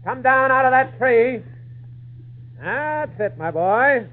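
High-pitched sneering villain. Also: male voice, animated, slow, full character voice. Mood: sneering, menacing. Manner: sneering, rustic villain talk. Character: cruel, bullying circus boss, sneering and folksy. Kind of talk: old-time radio drama acting. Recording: tinny phone-like vintage radio sound.

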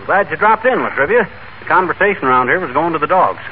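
An actor playing a folksy, wisecracking small-town husband in a 1940s radio comedy. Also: male voice, a little high, animated, fast talker, lightly acted. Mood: boastful, folksy. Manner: folksy, slangy, wisecracking delivery. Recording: noisy, tinny old radio recording.

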